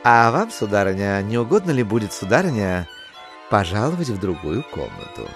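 A man's voice: lowish voice, huge pitch swings, normal speed, faint music behind. An actor playing a courteous, zealous male customs official in an audio drama, with oily politeness. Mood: unctuous politeness.

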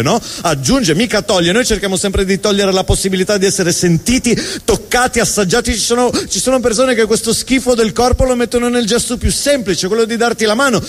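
A man performing a theatrical spoken monologue on stage, with emphatic, rhetorical delivery and deliberate repetition. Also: sits high. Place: live stage in a reverberant venue. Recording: reverberant hall, live stage sound.

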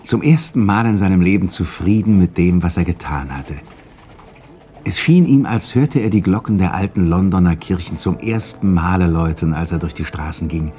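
An actor as the narrator of a Christmas tale in a radio play, telling it in a measured way. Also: male voice, low-pitched, warm tone, lightly acted. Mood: gentle, satisfied. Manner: measured storytelling. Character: calm storyteller of a Christmas tale.

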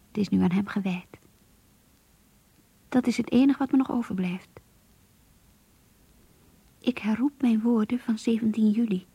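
An actress playing an anguished, lovesick young woman confiding in her diary in a radio play, hushed and intimate. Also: fairly high pitch, dry recording, full character voice. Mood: devoted, wistful. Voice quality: breathy, hushed, whispery. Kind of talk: Dutch radio play monologue.

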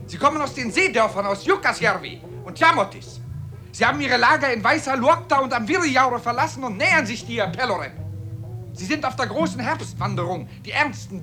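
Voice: medium pitch, bright, forceful tone. An actor voicing an eagle, a keen messenger of the wilderness, declaiming solemnly in a 1950s German radio play. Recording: old radio drama with music underneath.

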